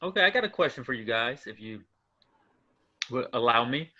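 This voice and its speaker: A man's voice: medium pitch, lively intonation, moderate pace, crowd noise. A man co-hosting a casual movie review chat, easygoing and asking the group questions. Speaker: podcast co-host.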